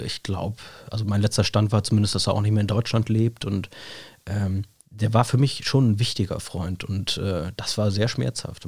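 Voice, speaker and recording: A man, hoarse with a cold, musing in a relaxed chat and padding his talk with fillers and tag questions. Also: on the low side, dry recording. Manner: thoughtful, rambling, many fillers and tag questions. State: hoarse with a cold, scratchy throat. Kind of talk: reflective casual chat.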